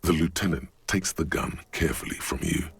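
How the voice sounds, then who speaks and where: A man reading measured, ominous second-person narration for a video game. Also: rumbling low, gravelly, slight background noise.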